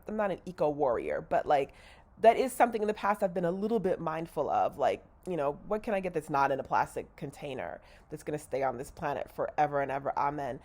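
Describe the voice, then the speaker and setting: A woman's voice: fairly low pitch, darkish tone. A woman with a slightly nasal, congested voice, rambling candidly in a casual video diary and correcting herself as she goes. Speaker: vlogger.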